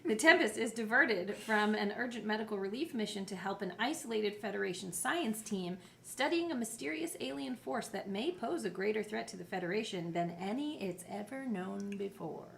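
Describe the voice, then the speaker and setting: Female podcast co-host, mid-pitched voice, playful and giggly, casual delivery, noisy room. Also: lively intonation, normal speed, vocal fry, few pauses. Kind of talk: casual chat.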